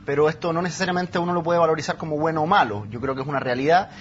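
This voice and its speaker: A man's voice: fairly high pitch, very fast. A man speaking as a panelist in a discussion, colloquial and full of Chilean slang. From born outside Chile, living there about ten years. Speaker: youth panelist. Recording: dull sound with faint background noise.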